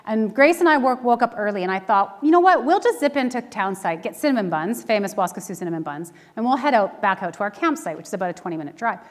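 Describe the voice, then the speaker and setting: A middle-aged Canadian woman pastor giving a conversational sermon with personal stories, informal, candid and animated. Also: mid-range voice, fast, hardly any pauses. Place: church sanctuary, speaking to a congregation. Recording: reverberant church hall.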